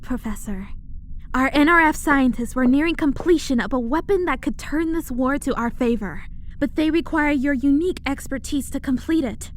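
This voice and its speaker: A woman's voice: high-pitched, slight background noise, lightly acted. A woman playing an earnest young alien princess, urgent and formal, in a sci-fi audio drama.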